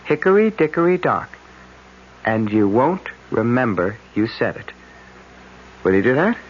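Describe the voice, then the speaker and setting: A calm, lively young hypnotist. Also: male voice, medium pitch, unhurried. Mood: soothing. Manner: easygoing, soothing. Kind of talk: 1970s radio drama acting. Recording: dull old radio broadcast, faint hiss.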